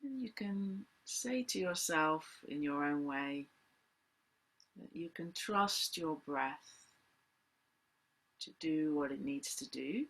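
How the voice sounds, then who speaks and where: Very slow, raspy woman guiding meditation. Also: low voice, lively intonation, a bit bright. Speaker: meditation teacher. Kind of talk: guided meditation, unscripted spoken instruction.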